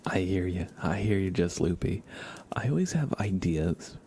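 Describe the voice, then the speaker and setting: Male ASMR streamer, low-pitched, hushed and whispery, playful rambling chat, faint background noise. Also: animated, moderate pace. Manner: rambling, playful asides to viewers. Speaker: livestream host. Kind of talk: casual ASMR livestream chat, often whispered.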